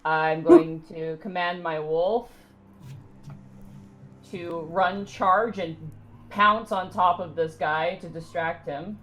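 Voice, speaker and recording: A woman's voice: lowish voice, fairly even intonation, slow pace, pauses often. A woman talking casually as a player at a tabletop role-playing game. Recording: clear music under the voice. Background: music.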